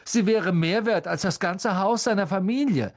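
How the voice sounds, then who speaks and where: A reader voicing an arrogant, spiteful schoolboy bully in a storybook, loud, cutting and taunting. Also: mid-range voice, big pitch swings, normal speed, pitched above the performer's own voice, natural voice. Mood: mocking, threatening.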